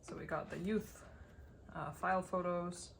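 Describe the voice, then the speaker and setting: A woman with a slightly gravelly, dry voice giving a casual, unscripted tour of a collection, rambling as she thinks aloud. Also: fairly low pitch, steady tone, measured pace, slight background noise. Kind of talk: casual unscripted show-and-tell commentary.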